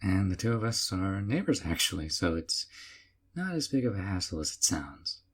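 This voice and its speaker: A man playing a doting, obsessive young man who keeps the listener, speaking in a calm, hushed, sweetly possessive way in an audio roleplay. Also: low voice, moderate pace, husky, exaggerated voice.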